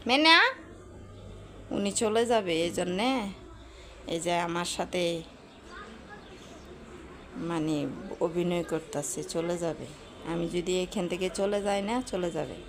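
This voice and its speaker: A woman with a fairly low voice and a Bangladeshi accent narrates a casual home vlog. She talks informally and colloquially, as if chatting to viewers, with clear household noise behind her. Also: takes it slowly, pauses often, fairly bright tone. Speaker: home vlogger. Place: at home, kitchen and balcony.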